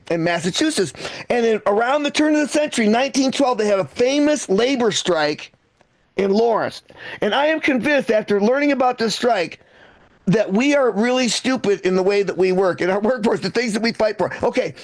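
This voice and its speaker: A man from Michigan performs stand-up in a very high-pitched voice with a gravelly edge. He is conversational and foul-mouthed, often restarting his phrases, and the sound is dry and close. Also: no room sound, rough recording. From Michigan, United States. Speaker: stand-up comedian. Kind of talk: stand-up comedy routine.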